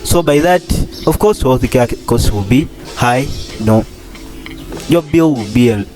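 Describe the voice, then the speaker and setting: A husky young man in his twenties talks in a loose, rambling way and leans on the same phrases again and again. His pitch sits in an ordinary middle range. Music plays clearly underneath him. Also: expressive, unhurried, a little mellow. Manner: rambling, repeats phrases, many fillers. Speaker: doctor in training, channel host. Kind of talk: casual vlog commentary.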